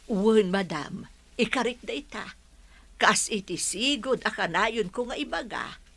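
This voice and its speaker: A woman's voice: mid-range voice, lively intonation, unhurried, fairly bright tone, no echo. A woman playing a devoted, motherly household servant, earnest and respectful, in a radio drama. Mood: sincere.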